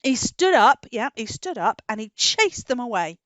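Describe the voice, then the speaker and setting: A British woman hosting story time for young children, asking animated questions, stretching key words and adding tag questions. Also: on the high side, moderate pace, no echo. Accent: British English.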